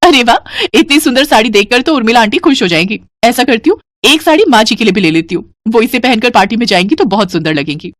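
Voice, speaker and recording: A woman voicing an eager, naive young daughter-in-law in an animated story, with a higher, chirpier voice. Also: fast talker, lightly acted. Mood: excited.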